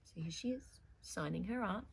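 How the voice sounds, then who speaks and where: A woman's voice: mid-range voice, normal speed, gravelly, noisy background. A woman presenting a children's storytime, reading a picture book theatrically with dramatic emphasis and chatty asides to young listeners.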